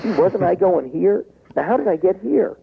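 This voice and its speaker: A man in his sixties talking in a taped interview, acting out quoted pleas and dropping low when he turns reflective. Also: expressive, normal speed, creaky, breathy edge. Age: 60s. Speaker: business author guest. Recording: tinny phone-like tape.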